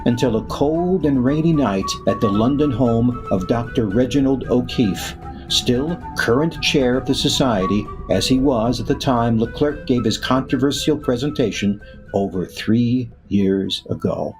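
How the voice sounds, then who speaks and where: A man narrating a scripted radio drama as announcer, in a measured way with dramatic pauses. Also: mid-range voice, animated. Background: music.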